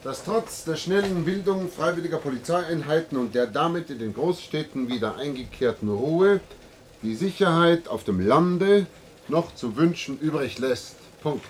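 Man acting a brisk wry ministry guy, radio play, voice a bit high, some noise behind. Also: expressive, lightly acted. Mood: businesslike. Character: brisk, wry male government ministry official. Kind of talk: German science-fiction radio play dialogue.

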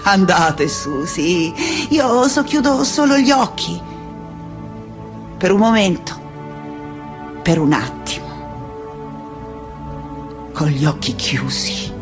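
A woman's voice: fairly low pitch, sing-song, full character voice. Older lady scholar in a stage monologue, proud n anguished, repeats herself, music playing under her. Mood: weary, tender. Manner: halting, repeating words, dramatic. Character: aging woman teacher, proud, anguished defender of books.

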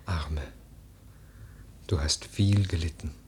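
Ernster junger Edelmann, tief, rau gehaucht. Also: male voice, sits low, breathy edge, full character voice. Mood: gentle, sorrowful. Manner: declamatory, measured, earnest verse-drama delivery. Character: earnest young widowed gentleman, solemn, admonishing cousin. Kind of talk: 1940s German radio play acting. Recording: old 1949 radio drama recording.

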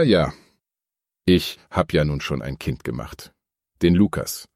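A deep, low male voice, recorded very dry, an actor as an earnest plumber in a satirical radio play. He grumbles and rambles with firm opinions, matter-of-fact and scornful of luxury. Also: a little mellow, no room sound, heavily acted. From Dithmarschen, northern Germany. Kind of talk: mock-documentary radio play interview.

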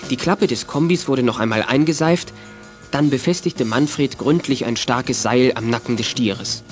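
A man's voice: medium pitch, normal speed, faint music behind. A man narrating a children's radio drama in scripted storytelling style.

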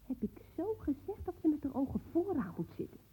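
A woman's voice: high voice, very animated, a little fast, warm tone. A woman playing a bossy, scornful mother in a radio play, nagging sarcastically in colloquial speech. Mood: indignant nagging. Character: bossy, nagging, scornful mother waiting at her daughter's school. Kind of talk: naturalistic radio play dialogue. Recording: dull, muffled old radio play recording.

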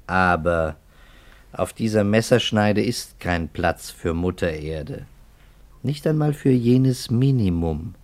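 An actor playing a skeptical, coolly ironic investigator in a 1950s German radio play, speaking in a measured, pointed way. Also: male voice, on the low side.

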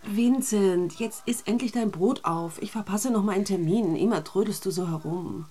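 A woman's voice: mid-range voice, moderate pace, mellow, close to an everyday voice. A woman playing a busy, fretting mother of young children in a radio drama, with an everyday, fretful manner. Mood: impatient. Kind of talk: German radio drama acting.

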